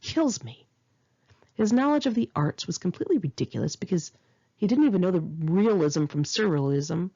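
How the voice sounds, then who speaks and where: A woman's voice: lowish voice, lively intonation, moderate pace, dark tone, breathy edge, muffled recording. A woman narrating her own first-person memoir like an audiobook, with dramatic, sarcastic and indignant storytelling. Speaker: author reading own memoir aloud.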